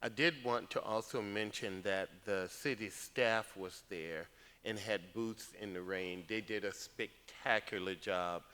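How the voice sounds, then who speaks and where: Low-voiced councilman, earnest and rambling, reverberant chamber. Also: a little slow. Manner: earnest, rambling, lively asides. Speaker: council member. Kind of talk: council meeting remarks.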